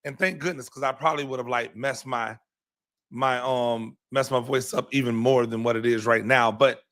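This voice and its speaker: A hoarse man gives his postgame football reaction, his voice bright and forceful despite the strain. He rambles, circles back and repeats his words with frequent ums, talking like a coach breaking down a game. His pitch sits in the middle for a man. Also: steady tone, normal speed. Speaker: podcast host and high school football coach.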